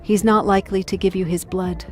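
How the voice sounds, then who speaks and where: An actress playing a cool, assured female vampire mentor in a video game, speaking in a measured, slightly formal way. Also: medium pitch, fairly even intonation, soft background music, natural voice. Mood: composed, instructive. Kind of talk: video game cutscene acting.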